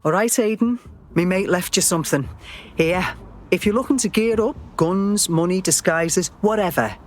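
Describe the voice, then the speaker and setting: An actress playing a streetwise British trader in a video game, offhand and slangy. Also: female voice, on the low side, moderate pace, a little mellow, soft background music, natural voice. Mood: offhand, businesslike. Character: streetwise British underworld trader offering gear. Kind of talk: video game dramatic acting.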